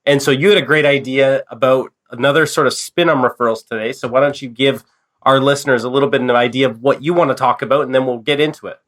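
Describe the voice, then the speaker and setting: Male podcast host, fighting a cold, conversational and loose, mid-pitched. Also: fairly even intonation, fast talker, fairly bright tone. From Canada. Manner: conversational, loose, frequent fillers and restarts. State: stuffed up with a cold. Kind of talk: casual business podcast chat.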